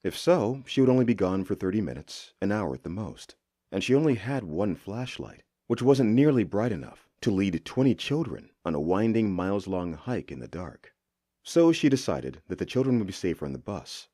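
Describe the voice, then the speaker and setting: A man reading a horror story as a scripted narration, with suspenseful, expressive delivery. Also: low voice, dry recording, clean studio sound.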